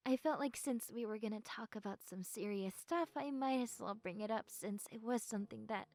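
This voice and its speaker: A woman playing a shy, sweet young goth best friend in an intimate audio roleplay, soft-spoken and breathy, with hesitant, stammering speech. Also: mid-range voice, no room sound, natural voice.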